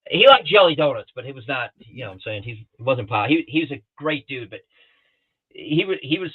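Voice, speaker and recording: A man telling rambling, animated pilot stories on a talk show, with many restarts and acted-out quotes, heard over a remote call. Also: medium pitch, fairly quick, husky. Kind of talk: casual storytelling on a talk show. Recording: tinny phone-like line.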